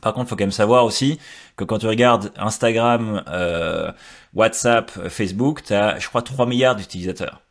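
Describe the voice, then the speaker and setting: A man's voice: fairly low pitch, normal speed. A Belgian man speaking French with a Belgian accent, explaining figures in a casual podcast chat with frequent hesitations. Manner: hesitant, many restarts and fillers while explaining. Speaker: podcast guest.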